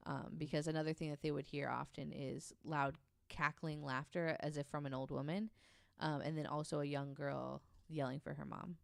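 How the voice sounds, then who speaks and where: A man chatting casually on a podcast, informal and expressive as he tells stories. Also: fairly high pitch, moderate pace, gravelly, no echo, studio quality. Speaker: podcast panelist. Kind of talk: casual storytelling chat.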